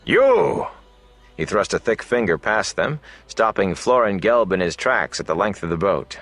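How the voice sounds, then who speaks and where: A man narrating a fantasy novel as an audiobook, in a measured, dramatic storytelling manner. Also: deep voice, a bit bright, soft background music.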